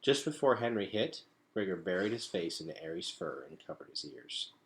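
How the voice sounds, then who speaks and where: A man's voice: low voice, very animated, normal speed, creaky. A man reading a storybook aloud as a storyteller, with dramatic, emphatic phrasing.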